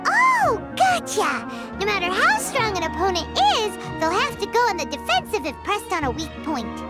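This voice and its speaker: A woman voicing a small, perky, childlike fairy companion in an animated video game. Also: very high voice, moderate pace, over loud music, full character voice. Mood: excited. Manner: speaks of herself in third person, exclamatory.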